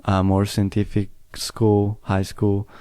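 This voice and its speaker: A young man from Italy hosts a casual interview and often pauses to search for his words. He speaks slowly in a smooth, mellow, somewhat low voice. The sound is dry. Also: monotone, no room sound. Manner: searching for words, hesitant phrasing.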